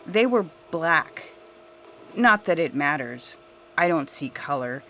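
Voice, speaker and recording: Slow-talking indignant suburban busybody mother played by a woman. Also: low-pitched, sing-song, sharp tone, a little hiss or hum, full character voice. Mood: smug. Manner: gossipy, indignant. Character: self-righteous suburban busybody mother, suspicious and oblivious. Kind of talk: scripted audio fiction monologue.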